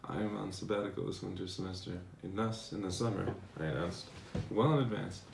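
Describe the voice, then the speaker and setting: A man playing a defensive, aggrieved professor in a dramatized letter reading, speaking in a formal, measured way. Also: sits low, gravelly, noisy background. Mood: indignant, defensive. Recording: roomy, echoing recording.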